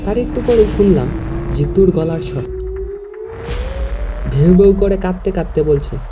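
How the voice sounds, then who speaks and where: A woman's voice: on the low side, expressive, measured pace. A woman reading a scripted first-person horror story as its narrator. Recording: music behind the voice. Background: music.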